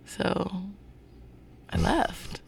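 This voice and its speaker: A man being interviewed as a guest about his work, speaking in a thoughtful, searching way with many pauses and fillers. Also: sits high, expressive, vocal fry, husky. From born in North Carolina, family from Zimbabwe. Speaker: guest, performer of a show. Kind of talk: reflective interview talk.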